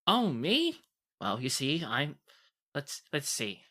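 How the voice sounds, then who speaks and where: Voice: mid-range voice, wildly swooping pitch, slow pace, pushed and bright, full character voice. A game master voicing a blunt, kindly, chatty halfling woman alchemist in a tabletop game, given to folksy exclamations. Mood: chatty, grumbling.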